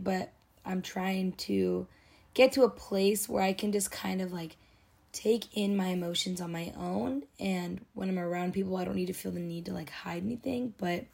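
Solo podcast host, woman in her twenties; raspy, husky voice with fry; rambling, confessional, filler-heavy chat. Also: fairly low pitch, a little mellow. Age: 20s. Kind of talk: casual solo podcast monologue.

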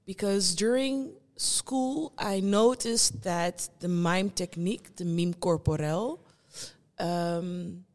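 A young woman from the Netherlands, a guest performing artist, speaking reflectively and searching for her words with many pauses. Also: fairly low pitch, darkish tone, long reverb tail. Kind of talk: reflective conversation.

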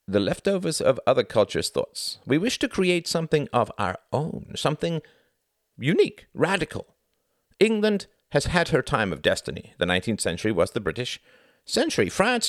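A man's voice: fairly high pitch, a bit bright, dry recording, studio quality, pitched above the performer's own voice, heavily acted. A man voicing a proud, scornful young nationalist academic from Germany in an audiobook, with a declamatory, insistent delivery. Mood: exultant.

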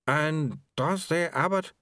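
A man's voice: on the high side, takes it slowly, pushed and bright, dry recording, studio quality, voice pushed up, heavily acted. A man voicing a flustered senior male monk who stammers and dithers. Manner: stammering, dithering, full of uhs.

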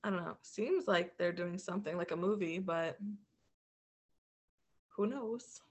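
Woman rambling casually, slightly low voice. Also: unhurried, slightly rough. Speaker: podcast co-host. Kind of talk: casual chat about shows and comics.

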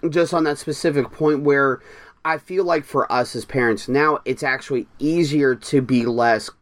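Earnest podcast dad. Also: male voice, mid-range voice, normal speed. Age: young adult or middle-aged, parent of a six-year-old. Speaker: podcast co-host. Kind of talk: casual chat.

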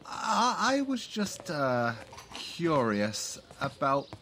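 A man's voice: on the high side, huge pitch swings, extremely slow, pushed and bright, a little hiss or hum, heavily acted. A man playing a timid, henpecked grown son in an audio play, hesitant and stammering, with dry exasperation. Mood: hesitant, apologetic.

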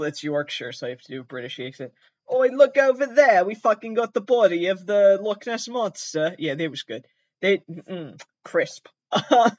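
A man's voice: on the high side, no room sound, dull audio. A man hosting comedic commentary on pranks, rambling, swearing and joking at his own expense. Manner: rambling, swearing, self-deprecating.

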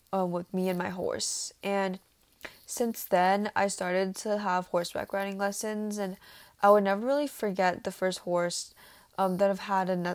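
A teenage girl who grew up in Taiwan speaks in an earnest, prepared way about her own life. Her voice sits at a middle pitch, and she pauses now and then with small fillers. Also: a little mellow. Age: teens. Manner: earnest, slightly halting with fillers. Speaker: student speaker for a youth nonprofit. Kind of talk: prepared personal talk.

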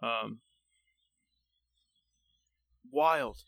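A young man co-hosting a casual sports podcast, talking loosely and excitedly with lots of "like" and "dude" and casual swearing. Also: medium pitch, very slow, vocal fry, no room sound, studio quality. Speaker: podcast co-host.

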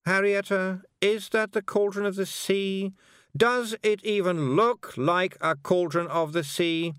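A man voicing a haughty, bossy teenage girl who is a witch student, condescending and sharp. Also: high voice, steady tone, measured pace, smooth, no room sound, clean studio sound, pitched above the performer's own voice. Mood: scornful.

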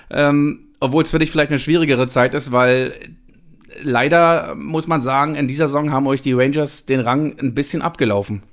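A man hosting a podcast, talking casually and at length with his guest, with many small hesitations. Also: on the high side. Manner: chatty, many fillers and restarts. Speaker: podcast host. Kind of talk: casual chat.

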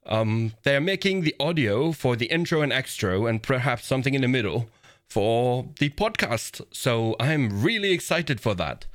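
A man co-hosting a community podcast, talking casually in a deep, commanding voice with a relaxed, playful way of cutting in. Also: moderate pace. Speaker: podcast co-host.

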